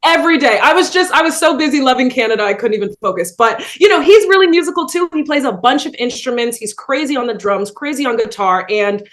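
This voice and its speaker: A woman's voice: high-pitched, quick pace, few pauses. A woman, an actress, chatting animatedly about her work in a casual interview over a video call. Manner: animated, laughing storyteller.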